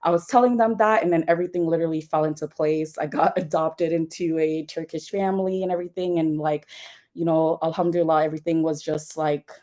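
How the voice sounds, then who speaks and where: A woman's voice: sits low, steady tone, fairly quick, darkish tone. A young woman from Jamaica, a nonprofit founder, telling her story in a casual interview with many fillers and restarts.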